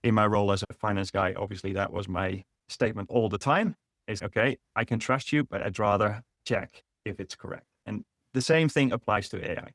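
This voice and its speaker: A middle-aged man with a Dutch accent walks through a business webinar, explaining step by step and restarting now and then. His voice sits low, and it is recorded close and dry with no room sound. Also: brisk, fairly bright tone, dry recording. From Netherlands. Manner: explanatory, with frequent fillers and restarts. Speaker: webinar presenter. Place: online webinar.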